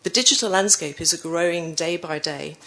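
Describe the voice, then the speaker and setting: A woman with a cold giving a conference presentation, her voice croaky, with many fillers and self-corrections. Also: lowish voice, fairly quick, fairly bright tone, low-quality recording. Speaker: conference speaker.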